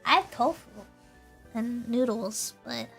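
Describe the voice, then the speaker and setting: A woman with a very high, bright voice chats casually to her livestream audience. She is bubbly and giggly, tending to repeat little phrases as she goes. Soft music plays faintly behind her. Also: slow, gravelly, low-quality recording. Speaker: VTuber livestreamer. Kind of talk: casual chatty livestream, talking to chat.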